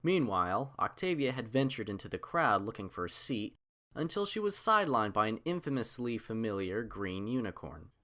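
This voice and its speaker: A man's voice: lowish voice, big pitch swings, normal speed, bright, forceful tone. A man reading scripted fiction aloud as the narrator of a story.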